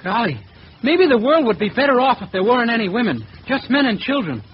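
A boyish, guileless young suitor in an old radio comedy. His voice is high and meekly polite, delivered deadpan through a muffled, hissy broadcast. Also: a little fast. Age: young adult. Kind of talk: 1940s radio comedy acting.

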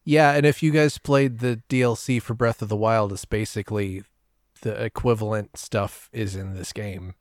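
A man's voice: lowish voice, fairly even intonation, normal speed, dry recording. Man in casual, dry-sounding podcast chat. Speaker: podcast panelist.

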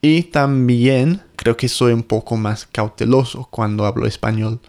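A man whose first language is English, co-hosting a casual chat and speaking in a measured, reflective way. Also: medium pitch, fairly even intonation, a little mellow. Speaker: co-host.

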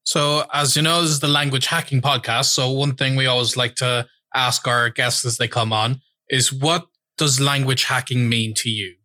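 Bright-voiced podcast host, halting. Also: male voice, medium pitch, steady tone, no room sound. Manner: halting, many fillers. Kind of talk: casual interview questions.

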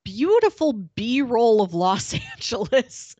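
A woman's voice: fairly high pitch, sing-song, slow, a little mellow, dry recording. A woman co-hosting a podcast, chatting casually as she reviews and recommends. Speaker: podcast co-host.

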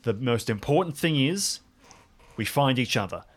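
A man's voice: medium pitch, animated, normal speed, pitched above the performer's own voice, lightly acted. A man playing an earnest, urgent ranger trainee who speaks with commanding emphasis in a tabletop game.

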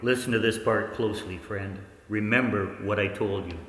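An actor playing a mysterious, insistent man in a 1940s radio play, speaking deliberately. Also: on the low side, fairly even intonation, a bit bright, lightly acted. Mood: urgent, mysterious. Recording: very echoey hall with long reverb.

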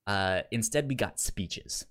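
A man co-hosting a podcast in casual discussion, speaking haltingly with stammers and repeated word starts. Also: lowish voice, animated, a bit bright. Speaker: podcast co-host reviewing an audio drama.

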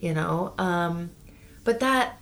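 A woman's voice: fairly low pitch, animated, slow pace, fairly bright tone, a little hiss or hum. A woman in her thirties co-hosting a casual talk show, speaking thoughtfully and reflectively. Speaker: podcast co-host. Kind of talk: casual conversational chat.

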